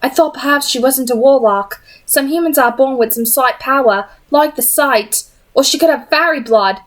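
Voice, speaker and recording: Reader as composed lady, lifted pitch, calm, reasoned, sympathetic, audiobook character. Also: moderate pace, lightly acted. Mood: thoughtful. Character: composed, sympathetic but firm adult woman.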